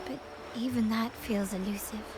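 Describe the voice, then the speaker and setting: An actress playing a poised, hospitable yet menacing woman of a powerful family in a video game, speaking formally and deliberately. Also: a little high, steady tone, very noisy, natural voice. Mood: serious, explaining. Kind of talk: video game story voice acting. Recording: music playing behind the voice. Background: game music.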